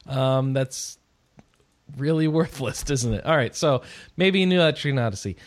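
A man's voice: mid-range voice, expressive, moderate pace, no echo. Man, podcast host, casual news chat, sarcastic asides, mumbling tail-offs.

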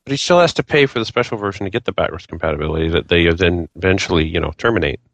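A man's voice: sits low, animated, racing, fairly bright tone, dry recording. A man chatting casually about video games as a panelist on a podcast.

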